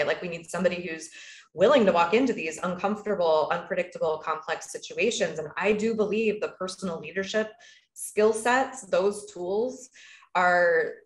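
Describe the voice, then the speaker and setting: A woman's voice: on the low side, roomy sound. A woman speaking on a video call as a panelist, talking in a casual, thoughtful way with many "like"s and "right?"s. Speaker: panelist, agile coach.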